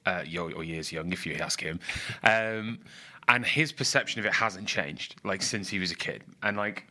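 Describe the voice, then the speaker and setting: A man's voice: low-pitched, moderate pace, sharp tone. A man, co-hosting a conversational podcast in a reflective, rambling way with many fillers. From northwest England. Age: 30s. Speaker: podcast co-host. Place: studio in a building. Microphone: studio microphone.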